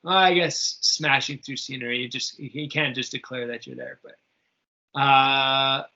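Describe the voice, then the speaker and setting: A man's voice: mid-range voice, steady tone, measured pace, pushed and bright, muffled recording. A man running a tabletop game as game master, casually prompting his players with questions.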